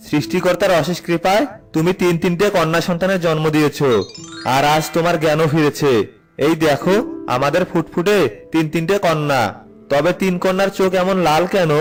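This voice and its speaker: A man voicing a worried, baffled village husband and father in a cartoon fairy tale, expressive and questioning. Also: fairly high pitch, lightly acted.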